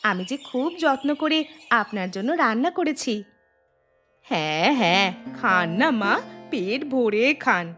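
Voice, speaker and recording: A woman's voice: fairly high pitch, animated, measured pace, a bit bright. A woman voicing a scheming, jealous young daughter-in-law in a cartoon story, with a theatrical, gloating delivery. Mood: sly, boastful. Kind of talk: Bengali cartoon storytelling voice acting. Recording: background music under voice.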